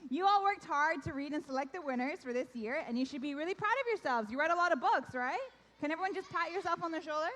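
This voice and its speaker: A woman's voice: high voice, lively intonation, quick pace, fairly bright tone. A young adult woman, a Korean native speaker raised in Canada, hosts an awards ceremony for young readers with upbeat, coaxing call-and-response. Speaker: event host. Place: large hall. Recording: long reverb of a big hall.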